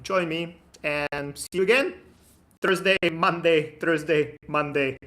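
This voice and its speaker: A man's voice: a little high, steady tone, slow, fairly bright tone. A man with a Czech accent, a Czech native speaker, talking casually on a live stream, chatty and joking with viewers. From Czech Republic. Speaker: immigration consultant hosting a live stream.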